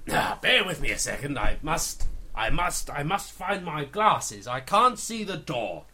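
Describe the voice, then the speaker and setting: A man's voice: on the high side, very animated, unhurried, bright, forceful tone, breathy edge, exaggerated voice. An English man playing a fussy, forgetful old father who stands for England, talking in a bumbling, hesitant, apologetic way. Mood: fussy, muddled.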